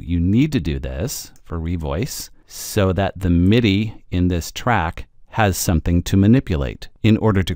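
A man presenting a software tutorial, explaining step by step in a conversational way with wry asides. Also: sits low, dry recording, clean studio sound.

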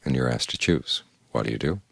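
An older man gives an evening meditation talk with calm, measured patience. His voice sits extremely low, with a gravelly, husky grain. The sound is close and dry, with no room around it. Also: dry recording. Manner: calm, measured, plain-spoken teaching. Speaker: Buddhist monk giving a Dhamma talk.

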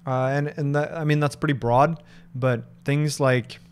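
A man in his thirties from Norway, answering viewer questions in a casual live stream, thoughtful and halting, with lots of fillers and restarts, speaking into a desk microphone in a home office. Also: mid-range voice, fairly even intonation, clean tone. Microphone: large microphone on desk in front.

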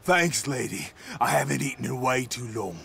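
A man playing a hungry, excitable young drifter in a video game, grumbling in a raspy, gravelly voice and jumping to yelps. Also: mid-range voice, moderate pace, full character voice. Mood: content, musing. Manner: slouchy grumbling that jumps to yelps. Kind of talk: video game cutscene acting.

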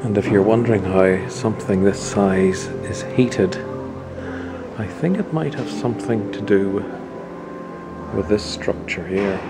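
A man's voice: lowish voice, measured pace, over loud music. Awed middle-aged man in a cathedral. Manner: awed, chatty, wandering as he talks. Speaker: vlogger. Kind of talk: casual travel vlog commentary. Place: inside a large stone cathedral. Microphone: handheld camera held close.

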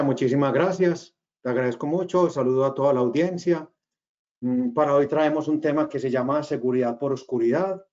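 A man's voice: medium pitch, warm tone. A man in his forties with a Colombian regional accent, giving a webinar presentation over a video call, hesitant, with many fillers and restarts. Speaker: webinar speaker, company cofounder. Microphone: video call webcam. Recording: slightly dull video call audio.

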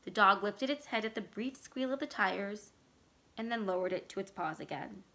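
Bright-voiced woman reading a story aloud. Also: medium pitch, normal speed. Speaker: library staff reader. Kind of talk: story read aloud, narration. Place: small furnished room indoors.

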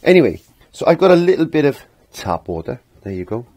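A middle-aged man with a croaky voice giving a casual step-by-step painting lesson, rambling as if to himself and repeating his phrases. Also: mid-range voice, very animated, brisk, a little mellow, dry recording. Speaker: painting instructor. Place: indoor home art studio.

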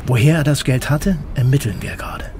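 An actor playing a guarded, stern federal intelligence officer in an audio drama, terse and controlled. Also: male voice, mid-range voice, a little fast, noisy background, natural voice. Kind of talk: naturalistic German audio drama acting.

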